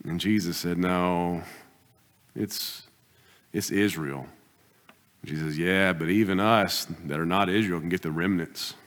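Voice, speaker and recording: A man preaching a sermon as pastor, pressing his points with rising bursts and then dropping to quiet, deliberate asides. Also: deep voice. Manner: emphatic preaching, swings between loud exhortation and quiet asides. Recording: long hall reverb.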